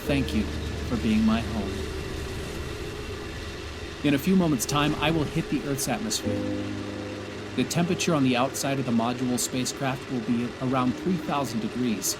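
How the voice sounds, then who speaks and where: Prominent music surrounds a stranded astronaut commander acted by a man in an audio drama. He speaks in a mid-pitched voice, solemn and measured, pausing as he reflects. He sounds resigned to danger yet holds on to hope. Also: lightly acted, low-quality recording. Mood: wistful, resigned. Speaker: narrator and lead of an audio drama. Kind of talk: dramatic first-person monologue.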